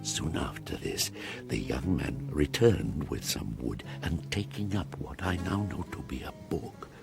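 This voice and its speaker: Gothic radio monster: eloquent, yearning outcast, very low voice with wide swings from hush to outburst, deliberate pace. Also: male voice, sing-song, creaky, exaggerated voice. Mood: tender, wistful. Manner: slow, deliberate, literary delivery, often dropping to a hushed whisper. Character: man-made monster, eloquent, wounded, yearning outcast telling his tale. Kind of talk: dramatic radio narration of gothic horror. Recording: music under the voice.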